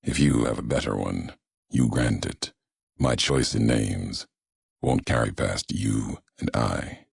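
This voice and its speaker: An actor playing a grave, brooding, vengeful wasteland courier in a video game, speaking in clipped, solemn, philosophical monologue with a gravelly, husky voice. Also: male voice, extremely low pitch, slow, no room sound, clean studio sound, exaggerated voice. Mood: solemn, cryptic. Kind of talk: video game voice acting, slow portentous monologue.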